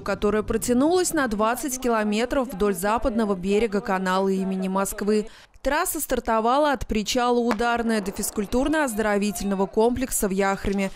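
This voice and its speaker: A woman reading a scripted local news voice-over in an even, clear way. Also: medium pitch. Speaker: news reporter.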